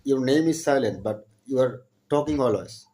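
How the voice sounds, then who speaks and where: Young man from Bangladesh, eager English learner on a video call, halting phrasing, mid-pitched voice. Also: expressive, warm tone. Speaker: channel host practicing English with strangers. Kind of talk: casual video chat with a stranger.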